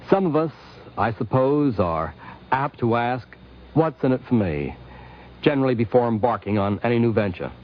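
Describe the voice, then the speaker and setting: A male narrator reads a formal script in 1950s radio style. His medium-pitched voice moves through lively rises and falls, with measured, rhetorical phrasing. The recording sounds dull and dated. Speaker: narrator, host.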